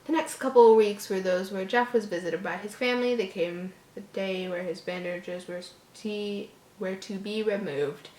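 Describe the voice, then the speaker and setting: A woman's voice: medium pitch, steady tone, normal speed, darkish tone, slight background noise. A woman reading a horror story aloud as a host, stumbling over words and breaking off into mocking, exasperated asides. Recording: echoey room.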